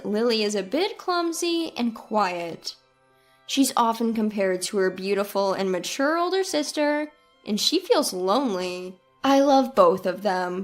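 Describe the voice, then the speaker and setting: A woman reading a scripted first-person story as its narrator. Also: on the high side. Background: background music.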